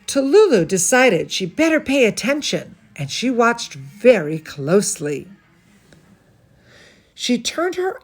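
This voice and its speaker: A woman's voice: medium pitch, a little mellow. A woman reading a children's story aloud as a teacher would, expressively, with chatty asides and questions to her young listeners. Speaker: dance studio teacher reading a bedtime story to students.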